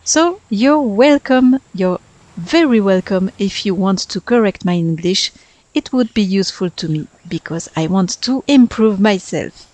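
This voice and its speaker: A woman's voice: medium pitch, expressive, unhurried, a little mellow, no room sound, low-quality recording. A woman with a French accent, a native French speaker in her thirties, chatting through a game build as voice-over narration, talkative and self-deprecating. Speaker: Sims speedbuild YouTuber.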